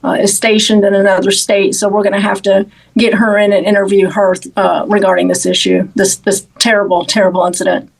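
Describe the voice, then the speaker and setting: A woman in law enforcement answering interview questions about an investigation, in a measured, halting way with repeated words. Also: mid-range voice, little pitch movement, gravelly, poor audio.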